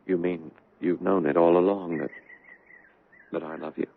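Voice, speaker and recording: An actor playing an earnest, troubled young doctor and devoted son in a 1970s radio drama. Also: male voice, low voice, steady tone, vocal fry, breathy edge, heavily acted. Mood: tender, nervous. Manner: earnest, agitated, halting in dialogue. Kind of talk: 1970s radio drama acting with first-person narration. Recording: muffled vintage radio broadcast, narrow band.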